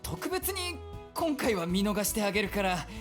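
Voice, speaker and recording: Very fast-talking, genial young policeman. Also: sits high, very animated, a bit bright, soft background music. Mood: flustered, grateful. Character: genial, sly young male island police officer. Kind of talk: visual novel game acting.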